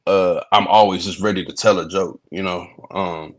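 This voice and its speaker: A man from Texas, a comedian, chatting in a relaxed video-call interview, laughing easily and repeating words as he starts his sentences. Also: low-pitched, moderate pace, slight background noise. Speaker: comedian and reality show guest. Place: indoor room.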